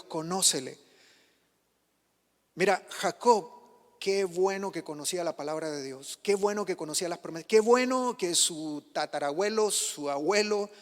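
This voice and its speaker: Pastor guy preaching, high voice, swings loud then drops real low, churchy echo. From Chile. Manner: passionate preaching, rising on questions and dropping low for emphasis. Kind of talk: sermon.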